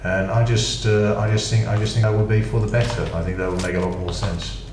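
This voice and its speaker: A British man with a very low voice talks his way through a game in a loose, rambling way, full of dry asides that poke fun at himself. He sounds some way off from the mic, in an echoey room with clear noise underneath. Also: steady tone, a little fast, recorded from a distance. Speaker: gaming channel host. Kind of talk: casual gameplay commentary.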